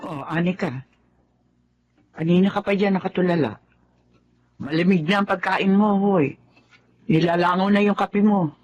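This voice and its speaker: A woman's voice: lowish voice, unhurried, long pauses, a little mellow. A woman playing a caring, reassuring older mother in a radio drama. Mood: nagging concern. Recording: dull band-limited recording, faint music.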